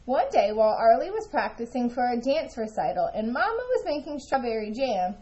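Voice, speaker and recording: A woman reads a children's story aloud as an expressive narrator. Her voice sits a little high and moves with lively intonation, yet has a soft, mellow tone. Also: a little fast, highs cut off, slight background noise. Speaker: storybook reader.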